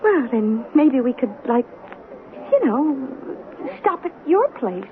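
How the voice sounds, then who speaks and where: High-pitched, coy good-time girl. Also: big pitch swings, takes it slowly, a little hiss or hum, full character voice. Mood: coy, hesitant. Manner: girlish, slangy, wheedling. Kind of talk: radio drama acting. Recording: tinny 1970s radio broadcast, crowd behind.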